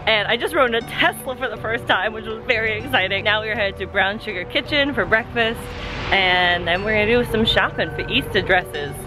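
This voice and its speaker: A woman's voice: mid-range voice, a little fast, rough recording. A woman in her twenties chatting casually to a handheld camera at arm's length as she vlogs her day. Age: 20s. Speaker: daily vlogger. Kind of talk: casual vlog chat to camera. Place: handheld vlogging in streets, shops and rooms at home. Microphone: camera held at arm's length. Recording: clear music behind the voice.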